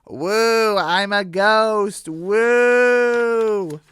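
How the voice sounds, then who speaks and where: Narrator putting on a sly, high-pitched prankster rooster. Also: drawn-out words, a bit bright, clean tone, no room sound. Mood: mock spooky. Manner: coaxing, conspiratorial.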